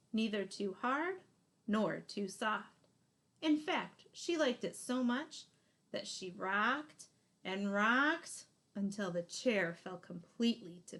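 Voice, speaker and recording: A woman in her thirties reads a children's picture book aloud expressively, leaning deliberately on key words. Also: mid-range voice, a bit bright. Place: small room indoors.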